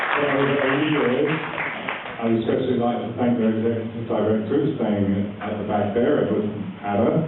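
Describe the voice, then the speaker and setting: A man moderating a candidates forum in a community hall, chatty and joking, with frequent ums and uhs. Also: mid-range voice. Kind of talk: live event emcee address. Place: community hall with a large audience. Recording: far-off, echoey, phone-like and noisy room recording.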